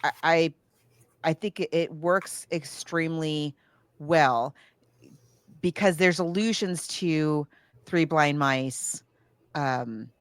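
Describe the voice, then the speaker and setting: A woman chatting casually about books and films, animated and halting as she searches for details while recounting. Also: low-pitched, clear, resonant tone, dry recording, studio quality. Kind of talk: casual book and film discussion.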